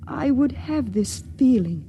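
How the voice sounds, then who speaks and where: An actress playing a frightened, secretive young woman aboard a ship who speaks softly and confidingly in a 1950s radio drama. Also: a little high, lively intonation, slow. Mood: hushed. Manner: soft, hushed, confiding. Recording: vintage radio recording with faint hiss.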